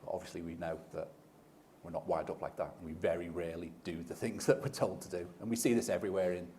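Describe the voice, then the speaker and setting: A man's voice: sits low, steady tone, fast, warm tone, a little hiss or hum. A man speaking as a panelist, explaining his points in a fluent, conversational way. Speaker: panelist, behavioural scientist. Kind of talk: panel discussion.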